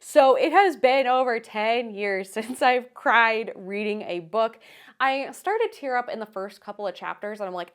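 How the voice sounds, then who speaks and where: A woman with a fairly high voice hosts a casual book vlog. She talks to her viewers in a chatty, informal review style. Her delivery is gushing and full of enthusiasm. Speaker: book vlogger, host.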